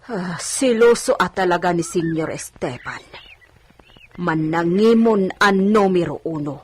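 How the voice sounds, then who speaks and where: A woman's voice: fairly low pitch, lively intonation, unhurried. A woman playing an anxious, persistent older village servant in a radio drama, earnest and coaxing. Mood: anxious, urging.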